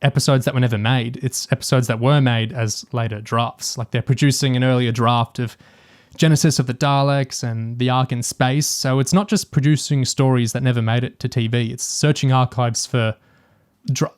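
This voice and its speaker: A man's voice: mid-range voice, fairly even intonation, moderate pace. A man with a mid-range voice co-hosts a discussion podcast. He talks in a casual, chatty way, picking through details analytically with the keenness of a dedicated fan. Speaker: podcast co-host. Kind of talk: casual discussion of audio drama and TV.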